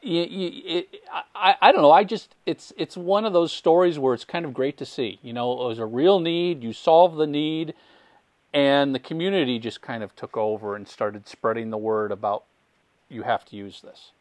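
A man's voice: a little high, normal speed, darkish tone, dry recording. A man hosting a casual interview, eager and upbeat, often stumbling and restarting his sentences. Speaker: podcast host.

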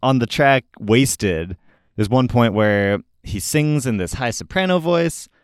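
Man, music show host, informal, enthusiastic, opinionated, smooth clear voice, close dry sound. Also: mid-range voice, animated, slow, no room sound. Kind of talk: casual album recommendation talk.

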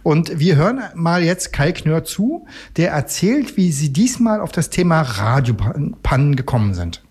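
A man's voice: fairly high pitch, big pitch swings, unhurried, a little mellow, husky. A man hosting a radio magazine, chatting casually and introducing segments.

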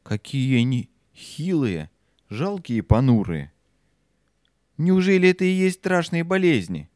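A man's voice: a little high, very animated, a little slow, darkish tone, no room sound, studio quality. A man playing a curious, stubborn young boy in an audio play, with a simple, earnest, questioning manner. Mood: surprised, pitying.

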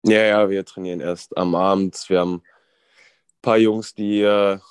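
A man, a guest talking casually while nursing a cold, relaxed and hesitant. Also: low voice, moderate pace, clean tone, no echo. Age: 20s. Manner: relaxed, hesitant, lots of fillers. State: has a cold. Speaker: guest, ice hockey goalie.

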